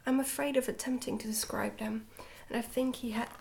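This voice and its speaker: A woman's voice: fairly high pitch, fairly even intonation, a little fast, a little hiss or hum. Whispering woman reading a storybook, ASMR style. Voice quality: whispery, breathy, soft-spoken. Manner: gentle, hushed, careful storytelling. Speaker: ASMR book reader.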